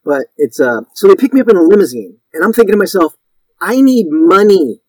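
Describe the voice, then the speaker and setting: A man's voice: sits high, big pitch swings, fairly quick, darkish tone, slightly rough, no echo, poor audio. A man in his fifties from Connecticut, a guest actor telling stories in a casual interview, rambling and self-deprecating with many restarts.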